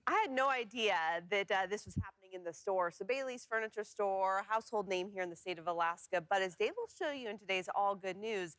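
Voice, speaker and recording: A woman's voice: medium pitch, brisk, hardly any pauses, fairly bright tone, no echo. A woman presenting on television news, explaining things in a conversational, unscripted way. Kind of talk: unscripted weather talk and newscast banter.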